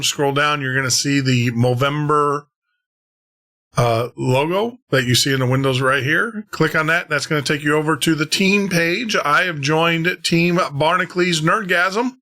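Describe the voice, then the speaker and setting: Bright-voiced podcast host, rambling. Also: male voice, fairly high pitch. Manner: rambling, chuckling, with restarts. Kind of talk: casual podcast chat.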